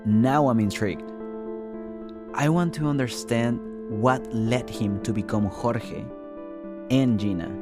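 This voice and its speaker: A man's voice: mid-range voice, slow pace, long pauses. A man hosting and reporting a podcast, reading scripted first-person narration. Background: music.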